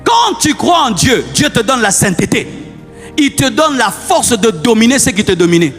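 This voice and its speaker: A man's voice: extremely high pitch, very animated, normal speed, a bit bright. A man with a Congolese French accent preaching a fiery sermon, exhorting his listeners with insistent repetition and rhetorical questions. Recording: long hall reverb, music underneath.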